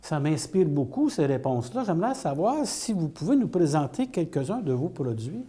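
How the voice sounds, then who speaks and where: A woman hosting a TV interview, asking questions in a measured, formal way. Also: low voice, sing-song, dark tone. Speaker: TV host, interviewer.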